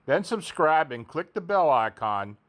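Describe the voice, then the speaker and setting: A man's voice: on the high side, big pitch swings, measured pace, a bit bright. A man speaking as programme host in a scripted sign-off addressed to listeners.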